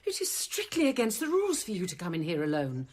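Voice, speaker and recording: A woman's voice: medium pitch, wildly swooping pitch, fast, background noise. A woman playing a guarded, learned convent apothecary nun in a radio drama, with precise, formal delivery. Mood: stern, guarded. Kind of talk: radio drama acting and narration.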